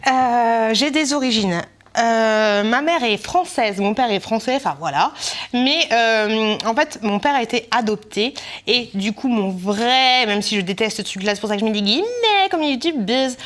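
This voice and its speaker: A woman in her thirties from Brittany, France, talks casually as a vlog host, in a fairly high voice. Her delivery is animated and slangy, full of laughter and emphatic outbursts. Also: moderate pace. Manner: lively, laughing, slangy and expressive. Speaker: vlogger host. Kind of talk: casual chat.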